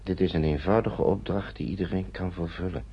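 An actor playing a cautious, hesitant English gentleman in hiding, husky and gravelly, in a radio drama. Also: low voice, fairly even intonation, fairly quick, heavily acted. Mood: reading gravely. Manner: hesitant, mumbled repetitions. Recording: dull lo-fi radio recording.

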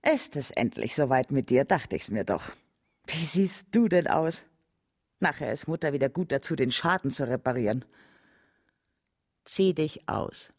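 A woman's voice: a bass voice, lively intonation, unhurried, pauses often, a little mellow, slightly rough, no room sound, studio quality, exaggerated voice. A woman playing a coarse, bossy older doctor's wife in a German radio drama, speaking curtly and commandingly. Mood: scornful, bossy. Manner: brusque, commanding.

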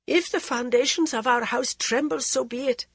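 Woman reading a high-born queen, formal, high and strained, gravelly edge. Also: moderate pace, no room sound. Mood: defiant. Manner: pleading then defiant, formal speech. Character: proud desperate middle-aged queen, a woman.

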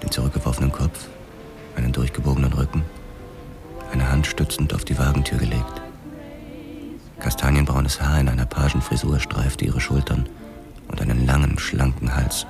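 A male actor playing a cool young boxer cop, narrating his own story in a measured, understated noir style. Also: very deep voice, flat delivery, vocal fry, over music. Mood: cool, watchful. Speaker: actor and narrator in a radio drama. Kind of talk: first-person noir narration.

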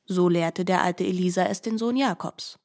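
A woman narrating scripted literary prose for radio in a measured, formal storytelling manner. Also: fairly low pitch, darkish tone.

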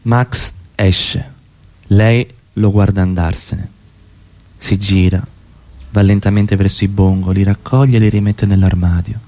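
A man's voice: on the low side, fairly even intonation, measured pace, dark tone. A man narrating a radio play, reading the stage directions as scripted narration.

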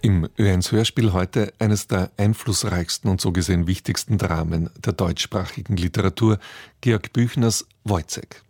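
A male radio presenter reads a scripted introduction in a measured, factual way. His voice is low and husky, recorded very dry. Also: a little mellow, no echo, soft background music.

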